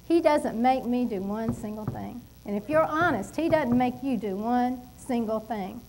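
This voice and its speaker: A middle-aged woman delivers a sermon from a church pulpit. Her voice sits high, and she preaches with emphatic, animated energy and quick asides to the congregation. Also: a little hiss or hum. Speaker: pastor. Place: church stage at a wooden pulpit.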